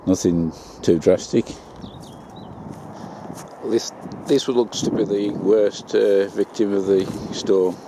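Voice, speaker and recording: A man's voice: lowish voice, steady tone, slow pace, noisy background. A man, chatting casually as he shows his allotment work in a greenhouse. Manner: chatty, homely, plain-spoken. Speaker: allotment vlogger. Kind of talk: casual gardening vlog narration.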